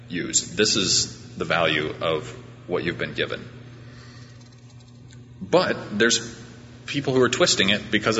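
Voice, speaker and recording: Male pastor, low forceful voice, conversational and self-effacing sermon delivery, recorded in a big echoing space. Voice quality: deep voice. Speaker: pastor preaching at a church. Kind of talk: informal sermon. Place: large reverberant church room during a service. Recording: reverberant hall, muffled, background hum.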